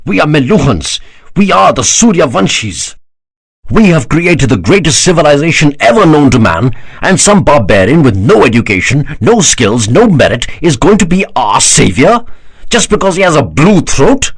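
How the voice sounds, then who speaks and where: A man voicing a proud general, exasperated and scornful, his husky voice pushed high and loud in indignation. The pitch leaps widely in a dry, close sound. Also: very animated, moderate pace, no room sound, full character voice. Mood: indignant.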